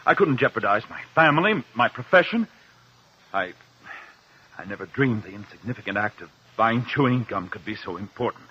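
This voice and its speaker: A man's voice: mid-range voice, lively intonation, normal speed, slightly rough. A man playing an earnest, anxious doctor under questioning in a 1950s radio drama, explaining precisely. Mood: anguished. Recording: muffled old radio recording.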